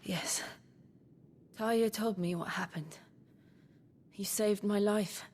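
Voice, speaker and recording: An actress as a slow-spoken, solemn young noblewoman. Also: mid-range voice, fairly even intonation, a little mellow, a little hiss or hum, lightly acted. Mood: grateful. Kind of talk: video game cutscene acting.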